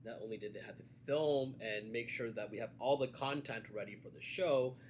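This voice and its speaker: A man in a relaxed sit-down conversation, speaking at an ordinary middle pitch. He talks in a measured, halting way with frequent fillers and restarts. Faint background noise sits under the recording. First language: Cantonese. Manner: measured, hesitant with many fillers. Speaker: guest, events and media producer. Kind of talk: casual conversation.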